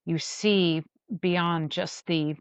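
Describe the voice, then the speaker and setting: A woman co-hosting a casual fan discussion, speaking haltingly as she searches for words, on slightly muffled audio. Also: lowish voice, steady tone, clear, resonant tone, dry recording. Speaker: podcast co-host.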